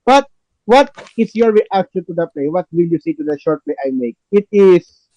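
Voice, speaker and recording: A man with halting, repetitive, non-native phrasing, narrating a play reading and chatting with the cast as podcast host. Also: high-pitched, expressive, mellow, dry recording, dull audio.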